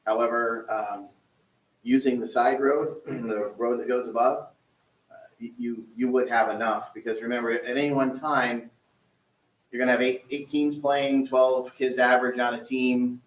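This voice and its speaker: A man representing a softball league, explaining plans at a town board meeting in an easygoing way with frequent restarts. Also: mid-range voice, steady tone, normal speed. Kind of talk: public meeting discussion. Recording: distant mic in echoey hall.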